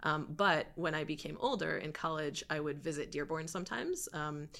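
A woman's voice: deep voice, moderate pace, vocal fry. A young woman from Michigan, a podcast guest talking casually with lots of fillers. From Michigan, USA. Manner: relaxed, frequent fillers and laughs. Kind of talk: casual interview chat.

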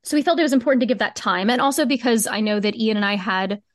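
A woman's voice: a little high, fast, no room sound, studio quality. A woman answering as an interview guest, explaining at length in an earnest, emphatic, conversational way with repeated phrases. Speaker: podcast guest, fiction podcast co-creator.

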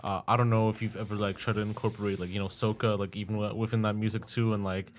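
A man's voice: fairly low pitch, level tone, fast. A young man hosting a podcast, asking long, rambling questions full of fillers in a laid-back way. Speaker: podcast host. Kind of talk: casual interview talk.

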